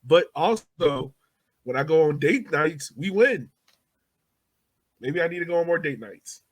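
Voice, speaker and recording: A man's voice: fairly high pitch, expressive, a little slow. Man hosting a casual sports podcast. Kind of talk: casual soccer fan podcast chat.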